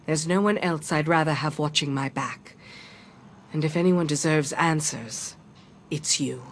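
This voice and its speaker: A woman's voice: sits low, measured pace, slight background noise. A woman playing a stern, resolute warrior leader with a terse delivery in a video game. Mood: resolute, solemn. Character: stern, grieving female warrior leader of her people. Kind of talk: dramatic game cutscene acting. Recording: music behind the voice.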